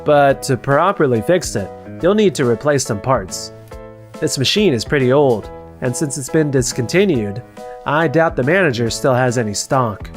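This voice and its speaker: A man playing a calm, capable young factory worker in a scripted manga dub, composed and matter-of-fact. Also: mid-range voice, moderate pace, soft background music, lightly acted.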